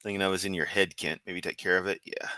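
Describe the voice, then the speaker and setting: A man's voice: sits low, flat delivery, fast, bright, forceful tone, no room sound. A man hosting a casual live stream, rambling and sarcastic while clicking around, with a sore throat. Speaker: livestream host.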